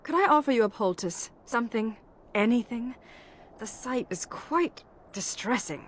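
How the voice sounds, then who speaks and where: Game role: woman plays fastidious companion; formal, politely repelled, highly varied pitch. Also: expressive, slight background noise, close to an everyday voice. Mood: polite disgust. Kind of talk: video game voice acting.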